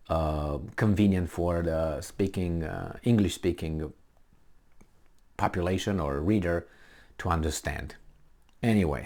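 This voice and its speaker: A man with a Romanian accent reads a news article aloud and keeps breaking off to comment in a loose, casual way. His voice sits a little low, and his talk is full of fillers, restarts and corrections as he drifts between topics. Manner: rambling, digressive, with many fillers and self-corrections.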